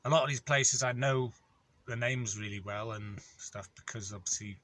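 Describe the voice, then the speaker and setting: A man, a van courier chatting through a casual vlog in a rambling, matter-of-fact way with many pauses and ums. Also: mid-range voice, fairly bright tone. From North Wales. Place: in or beside his van on an industrial estate.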